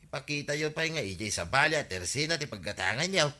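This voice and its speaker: Blunt village grumbler, Filipino accent, very sharp forceful voice, radio comedy. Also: male voice, a little high, animated, quick pace. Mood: grumbling. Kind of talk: Ilocano radio comedy drama acting.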